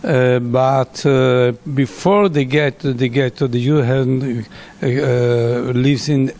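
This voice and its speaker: A man, an English speaker, answering interview questions in halting English with many pauses and fillers. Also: mid-range voice, steady tone, a little mellow.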